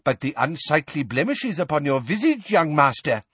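A man's voice: fairly high pitch, very animated, normal speed, fairly bright tone, breathy edge, no room sound, pitched above the performer's own voice, full character voice. A man voicing a pompous, insistent medieval wizard healer with archaic, grandiose diction, in an audiobook story. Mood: ominous, insistent.

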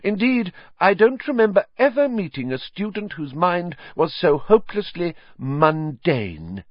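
A man putting on a dreamy, hushed female seer. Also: fairly high pitch, sing-song, dry recording, pitched above the performer's own voice, exaggerated voice. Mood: lofty. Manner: hushed, mystical, drawn-out. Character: airy, dreamy female seer, an old teacher. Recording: dry, slightly dull lo-fi.

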